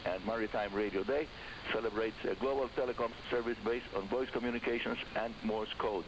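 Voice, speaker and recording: Hissy shortwave Cuban man, low voice. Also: fairly even intonation, fairly quick. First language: Spanish. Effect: telephone line over shortwave radio. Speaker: radio host. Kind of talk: shortwave radio hobby program presenting. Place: on a phone line linked to the studio. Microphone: telephone. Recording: hissy, noisy shortwave broadcast, telephone-line audio.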